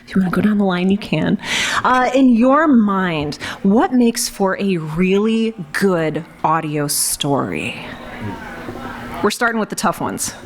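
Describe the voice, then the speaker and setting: A woman moderating a live panel discussion, encouraging and animated in her reactions. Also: medium pitch, measured pace. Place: convention panel room. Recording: noisy live convention panel room.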